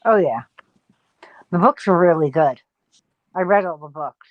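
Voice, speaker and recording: Woman chatting in very lively tones. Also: fairly low pitch, measured pace, no room sound, lo-fi sound. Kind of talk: casual chat, short interjections.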